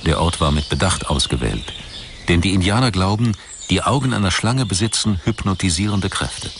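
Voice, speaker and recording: A man reading scripted documentary narration in a measured, articulate manner. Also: sits low, expressive, background noise.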